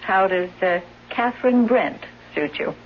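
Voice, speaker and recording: A woman's voice: mid-range voice, expressive, unhurried, natural voice. Seventies radio play: woman playing breezy, knowing schemer and mistress; dulled sound with faint hiss. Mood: breezy, sly. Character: sly, breezy mistress scheming as fake caregiver. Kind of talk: 1970s radio drama acting. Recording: muffled, hissy old radio broadcast.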